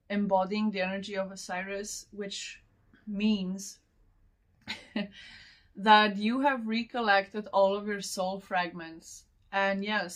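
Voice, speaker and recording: A young woman who is not a native English speaker, giving a casual spiritual oracle reading in a meandering way and laughing often between thoughts. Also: medium pitch. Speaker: tarot and oracle card reader.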